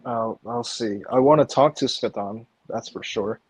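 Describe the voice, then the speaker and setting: A man whose first language is Russian, talking in a measured, practical way in casual chat, with a few ums. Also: mid-range voice, breathy edge, poor audio.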